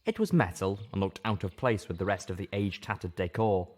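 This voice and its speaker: A man's voice: low-pitched, brisk, a little mellow. A man reading scripted first-person fiction aloud as an audiobook narrator.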